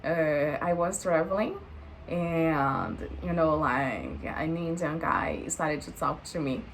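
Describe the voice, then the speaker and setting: A woman's voice: low-pitched, animated, takes it slowly, creaky, a little hiss or hum, low-quality recording. A woman in her late twenties with a Brazilian Portuguese accent, chatting unscripted to the camera in a hesitant way, with many fillers. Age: late 20s. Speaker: vlogger. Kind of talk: unscripted casual chat to camera.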